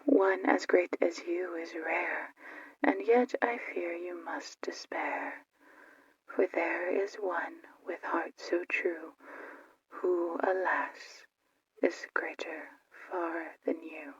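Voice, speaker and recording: A woman voicing a subservient magic mirror spirit in a fairy tale, speaking solemn archaic verse in a breathy whisper. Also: fairly low pitch, no echo, muffled recording, full character voice. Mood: solemn, ominous. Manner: slow, solemn rhyming verse in archaic wording.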